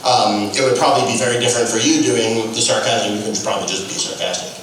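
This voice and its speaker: A man's voice: on the low side, quick pace. A man who does stand-up comedy, telling stories animatedly on a discussion panel. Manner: animated storytelling, laughs through jokes. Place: large echoey event hall. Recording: echoey hall from far off, background noise.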